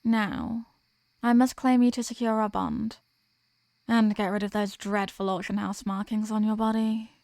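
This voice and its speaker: A woman's voice: medium pitch, little pitch movement, measured pace, a little mellow, no room sound, close to an everyday voice. A woman playing a possessive, dominant vampire in an ASMR roleplay, speaking in a teasing, condescending manner with formal phrasing. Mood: possessive, soothing. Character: possessive, dominant female vampire toying with captive prey. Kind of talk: ASMR roleplay, scripted intimate monologue.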